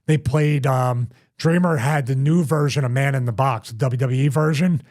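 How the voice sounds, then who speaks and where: A man's voice: medium pitch, normal speed, darkish tone. A middle-aged man hosting a casual podcast, telling long rambling stories with restarts and repeats. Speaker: host, former wrestler.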